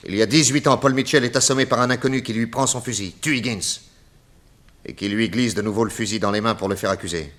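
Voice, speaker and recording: An actor playing an assured, courteous private detective in an articulate, theatrical 1960s French radio serial style. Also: male voice, on the low side, quick pace, fairly bright tone, close to an everyday voice. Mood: insistent. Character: famous male private detective, assured, indignant under accusation.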